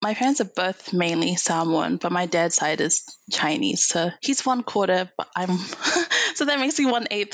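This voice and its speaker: A woman's voice: on the low side, dry recording. Giggly young woman guest. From New Zealand, raised in Hong Kong. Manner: giggly, with many fillers and laughs. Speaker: podcast guest. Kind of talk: casual interview talk. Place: home.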